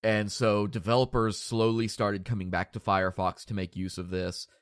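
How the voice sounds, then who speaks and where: Guy with a cold hosting a podcast, nasal and stuffy, low-ish voice, chatty and jokey. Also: flat delivery, moderate pace, no echo, studio quality. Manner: rambling, self-deprecating, joking. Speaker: podcast host. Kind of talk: casual podcast chat.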